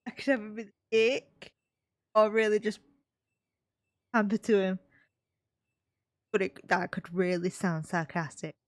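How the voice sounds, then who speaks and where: Female game streamer: medium pitch, dry close mic, off-the-cuff musing. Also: a little slow, long pauses, dry recording. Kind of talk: casual live gameplay commentary.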